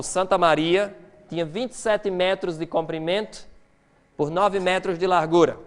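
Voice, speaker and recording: A middle-aged man preaching a lecture-style sermon, animated, swinging between quiet explanation and loud excitement. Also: high-pitched.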